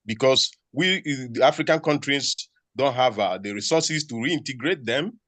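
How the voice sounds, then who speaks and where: A man in a dry, close recording, with a mid-range voice. He is a university researcher and talks haltingly, with pauses and restarts as he works toward his question. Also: mid-range voice, animated, a bit bright, dry recording. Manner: hesitant, many fillers and restarts. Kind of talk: panel question. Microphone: video call.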